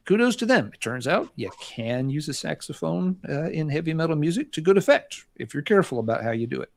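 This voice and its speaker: A man on a podcast talking through an album review in a thoughtful, measured way, restarting now and then. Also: mid-range voice, no room sound. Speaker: podcast co-host.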